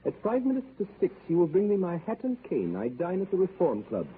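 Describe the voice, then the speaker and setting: Cold, precise gentleman in a vintage radio drama, measured and crisp, tinny broadcast hiss. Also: high-pitched, wildly swooping pitch. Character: precise, cold English gentleman of fixed habits. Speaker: actor in a radio play. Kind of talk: 1930s radio acting.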